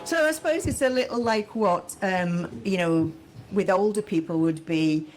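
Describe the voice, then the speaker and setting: A woman interviewing on radio in a conversational, measured, musing manner. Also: mid-range voice, soft background music, poor audio.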